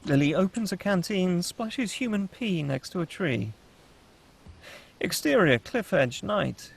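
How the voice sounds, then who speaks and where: A man's voice: high voice, animated, measured pace, gravelly, husky. A man reading screenplay stage directions aloud as the narrator at a table read.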